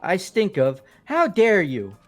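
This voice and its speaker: A man's voice: sits high, wildly swooping pitch, slow pace, faint music behind, full character voice. A man voicing a ranting, conspiracy-minded young man in a fan-voiced game, theatrical and indignant. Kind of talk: fan voice-over of a visual novel game.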